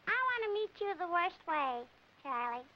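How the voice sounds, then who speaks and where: Very high-pitched, giggly little flirt. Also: female voice, lively intonation, slow pace, exaggerated voice. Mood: coy, flirtatious. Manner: coy, gushing. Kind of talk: 1940s film comedy acting. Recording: muffled, hissy 1940s film soundtrack.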